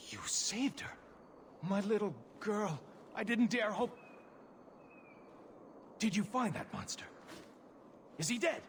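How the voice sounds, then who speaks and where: A man's voice: high-pitched, expressive, slow pace, long pauses, slight background noise. A man playing an earnest, grateful elf father in a video game, speaking in a heartfelt way. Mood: relieved, urgent. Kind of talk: video game dramatic acting.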